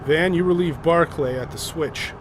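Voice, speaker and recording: An actor playing a commanding, methodical leader of a polar expedition in an audio drama, explaining things deliberately and with authority. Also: male voice, a little high, noisy background, lightly acted. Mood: resolute.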